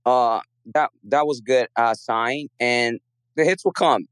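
A male caller who is losing his voice stops and starts, filling gaps with hesitations. His tone stays smooth and resonant, and the sound is dry and close. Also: mid-range voice, fairly bright tone, dry recording. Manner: halting, many fillers. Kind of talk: call-in chat.

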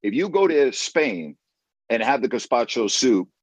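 A man's voice: mid-range voice, very animated, a little slow, no room sound. A man chatting casually as a guest, emphatic and repeating himself for stress. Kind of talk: casual chat.

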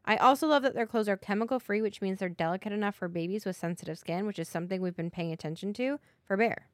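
A woman's voice: mid-range voice, fast talker, no echo, clean studio sound. Upbeat woman podcast co-host. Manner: upbeat, enthusiastic, chatty. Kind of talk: casual podcast chat and host-read ad.

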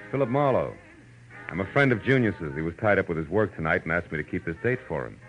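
Deep-voiced hard-boiled American private eye. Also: male voice, expressive, quick pace. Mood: cool, needling. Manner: terse, sardonic, clipped. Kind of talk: 1940s radio detective drama, narration and dialogue. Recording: dull old radio recording, music behind.

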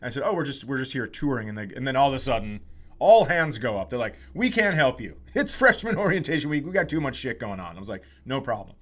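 A man's voice: medium pitch, big pitch swings, fast. A middle-aged man chatting casually as a comedy podcast host, telling self-deprecating stories and acting out the exchanges he quotes.

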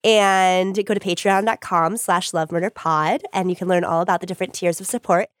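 A woman's voice: on the low side, fairly even intonation, fairly quick, clear, resonant tone, dry recording, clean studio sound. A woman co-hosting a podcast in casual chat, sounding nasal and congested from being sick. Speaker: podcast co-host.

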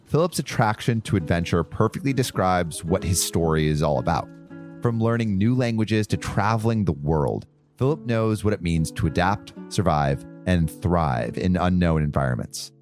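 A man hosting a podcast, narrating in a calm, measured way and interviewing a guest out on a boat. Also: low-pitched, faint music behind. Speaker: podcast host. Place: on a boat in a bay.

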